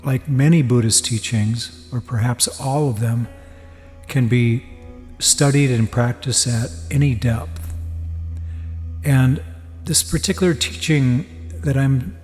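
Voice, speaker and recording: Man teaching meditation in long reverb. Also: medium pitch. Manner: measured, deliberate phrasing with pauses. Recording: long reverb, soft music behind. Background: music.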